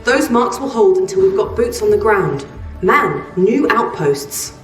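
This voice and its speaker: A woman's voice: medium pitch, sing-song, unhurried, reverberant, distant mic, faint music behind. An actress playing an earnest, hopeful woman in a sci-fi video game, speaking in a candid, conversational way. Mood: excited. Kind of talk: video game cutscene acting.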